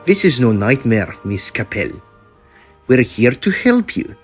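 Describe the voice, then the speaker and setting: A man playing a smooth, scheming villainous guardian with false courtesy in an old-time radio melodrama, formal and theatrical. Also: mid-range voice, sing-song, measured pace, faint music behind. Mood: coldly scheming.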